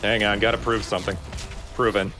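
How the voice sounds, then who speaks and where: Low-pitched man chatting over game music. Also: level tone, a little fast, sharp tone. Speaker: let's play co-commentator. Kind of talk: casual chat. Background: video game music.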